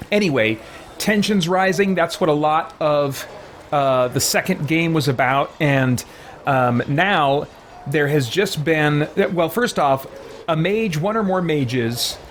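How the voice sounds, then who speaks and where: A man's voice: medium pitch, a little hiss or hum. A man giving an informal, rambling explanation to a live stream chat, digressing as he goes. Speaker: game livestreamer.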